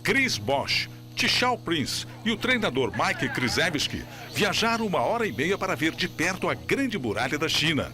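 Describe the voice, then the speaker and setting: A man's voice: a little high, very animated, moderate pace. A man with a Brazilian accent narrating scripted TV news as a presenter. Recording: music bed behind the voice.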